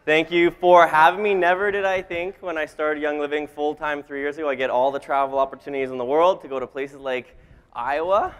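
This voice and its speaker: Clear-voiced young Canadian man in a hall. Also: a little high, steady tone, a little fast, a bit bright. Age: 20s. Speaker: guest speaker. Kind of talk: motivational business talk to a live audience. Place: event hall, on stage. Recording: reverberant large hall sound.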